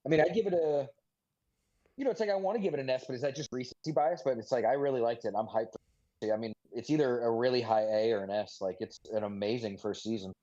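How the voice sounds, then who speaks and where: Male podcast co-host, average pitch, conversational and informal, somewhat dull recording. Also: fast talker, dark tone. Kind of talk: casual chat. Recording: slightly dull, lo-fi audio.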